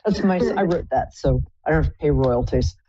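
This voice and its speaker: A woman with a low contralto voice hosting a live psychic call-in show, chatty and effusive, calling callers pet names. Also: a little fast, warm tone, no echo, dull audio. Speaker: psychic radio host.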